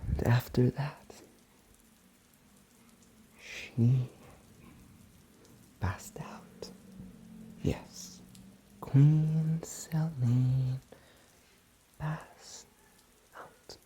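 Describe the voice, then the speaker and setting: Very slow, breathy, whispering woman storyteller. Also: extremely low pitch, fairly even intonation. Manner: hushed, drawn-out phrases with long pauses. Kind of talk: ASMR bedtime story narration. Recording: muffled sound with rain behind. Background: soft rain.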